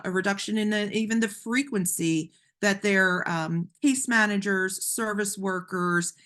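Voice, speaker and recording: A woman gives a training webinar over a call, explaining things patiently in a somewhat low voice. She is a bit hoarse from losing her voice. Her talk is loose and conversational, full of ums and restarted phrases. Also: fairly bright tone. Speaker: webinar presenter.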